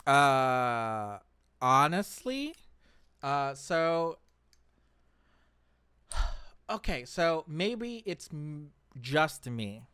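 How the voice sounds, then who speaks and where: A man's voice: on the high side, sing-song, extremely slow, long pauses, sharp tone, smooth, no room sound, clean studio sound. A man hosting a casual gaming chat in a playful, teasing way. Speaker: host of a gaming show.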